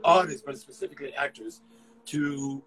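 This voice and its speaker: A man from Ghana, an actor, talking about his career in a relaxed interview, often restarting and repeating words as he thinks. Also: medium pitch, moderate pace, low-quality recording. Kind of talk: casual conversational storytelling.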